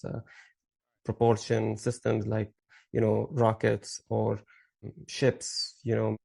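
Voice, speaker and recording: A man's voice: lowish voice, vocal fry, darkish tone. Rambling Syrian-born man in his thirties. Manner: rambling, with many fillers and restarts. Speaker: podcast guest. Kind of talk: casual interview storytelling.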